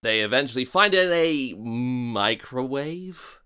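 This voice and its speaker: A man's voice: mid-range voice, lively intonation, measured pace. A man hosting a scripted video review in a theatrical, mocking manner. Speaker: host of a video review series.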